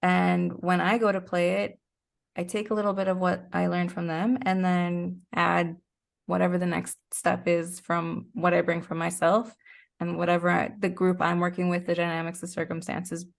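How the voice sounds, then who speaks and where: A woman's voice: fairly low pitch, level tone, normal speed, gravelly. A woman being interviewed as a guest, explaining things in a casual, reflective way. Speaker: interview guest, performing artist.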